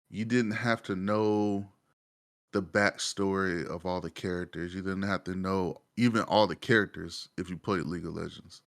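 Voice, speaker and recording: A man in a casual panel discussion, thinking aloud in a halting, self-correcting way. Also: low-pitched, a bit bright. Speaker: podcast panelist.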